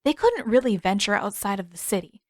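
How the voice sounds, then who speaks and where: A woman reading scripted fiction as an expressive audiobook narrator. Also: medium pitch, fairly quick, no room sound, clean studio sound. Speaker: narrator and author.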